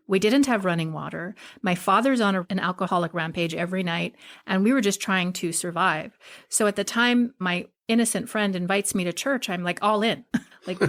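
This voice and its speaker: A middle-aged woman from Hawaii, a guest on a podcast, telling candid stories about her life and often laughing as she talks. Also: mid-range voice. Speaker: podcast guest, spiritual teacher. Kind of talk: casual interview conversation.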